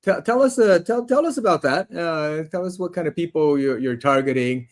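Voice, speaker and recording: A man's voice: on the high side, animated, moderate pace. A man hosting a podcast interview, enthusiastic and repeating words as he starts his sentences. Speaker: podcast host. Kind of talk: casual interview talk.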